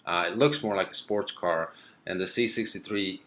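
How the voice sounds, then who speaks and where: A young man from Sweden with a lowish voice, chatting on a live stream. He reads out viewer comments and answers them in an easygoing, meandering way, stopping for plenty of ums and uhs. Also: normal speed. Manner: relaxed and rambling, many fillers. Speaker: car vlogger hosting a live stream.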